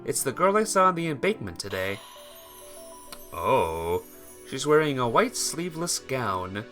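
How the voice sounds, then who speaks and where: A man's voice: medium pitch, very animated, measured pace, fairly bright tone, soft background music. A man streaming a visual novel, switching between casual chat with viewers and reading the story aloud. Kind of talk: casual livestream chat mixed with expressive read-aloud narration.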